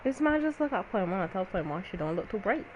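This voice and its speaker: Female gameplay commentator, colloquial and blunt, medium pitch, noisy home recording. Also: big pitch swings, fast, phone-quality sound. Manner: blunt, exclamatory reactions in nonstandard colloquial English. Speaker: gamer reacting to a story game.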